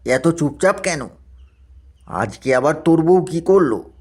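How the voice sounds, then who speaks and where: A man's voice: on the high side, expressive, slow pace, a little mellow, husky, heavily acted. A man voicing a teasing, good-natured young village friend in a cartoon story, joshing and frank.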